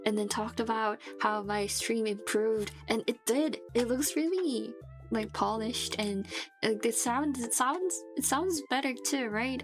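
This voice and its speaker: Sick woman rambling on a stream. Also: a little high. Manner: rambling, many restarts, frequent laughs. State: sick with a fever, dry throat. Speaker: vtuber streamer. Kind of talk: casual live stream chat. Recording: background music under the voice.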